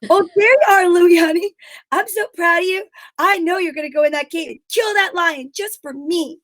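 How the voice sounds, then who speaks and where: An extremely high, sugary sweetheart as a woman acts her out. She gushes adoringly and melodramatically in old-time radio comedy style. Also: moderate pace, a bit bright, dry recording. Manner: sugary, effusive.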